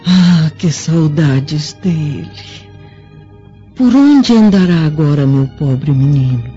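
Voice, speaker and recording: An actress playing a gracious, tender Roman matron in a radio drama, genteel and wistful. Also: female voice, deep voice, animated, slow, mellow. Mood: wistful, longing. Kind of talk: theatrical radio drama acting. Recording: muffled lo-fi radio drama with music.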